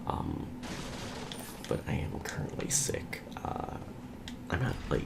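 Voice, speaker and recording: A young man giving soft, rambling, half-whispered game commentary, nasal from a cold. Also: slow. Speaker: let's play host. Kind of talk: whispered ASMR gameplay commentary. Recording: loud background hiss.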